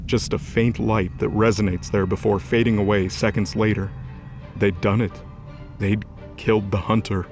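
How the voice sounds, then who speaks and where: A man's voice: on the low side, flat delivery, moderate pace, darkish tone. A man giving scripted dramatic narration as the narrator of an audio drama. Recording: music bed behind voice.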